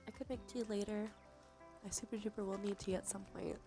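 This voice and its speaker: A woman hosting a casual ASMR livestream, chatting softly and narrating what she does, with a breathy voice that often slips into a whisper. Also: mid-range voice, steady tone, fairly quick. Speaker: livestream host. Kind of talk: casual ASMR stream chat. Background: background music.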